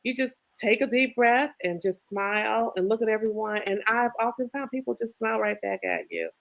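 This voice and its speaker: A middle-aged woman who writes children's books, reading her story aloud with lively pitch swings and then chatting casually, leaning on "you know.". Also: moderate pace, creaky. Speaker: children's book author. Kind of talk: reading her picture book aloud, then interview chat. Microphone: video call.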